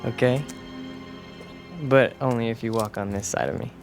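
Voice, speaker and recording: An actor playing a gentle, smitten young man in a radio drama, speaking tenderly and hesitantly. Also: mid-range voice, steady tone, moderate pace, gravelly, faint music behind, close to an everyday voice. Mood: playful, coaxing.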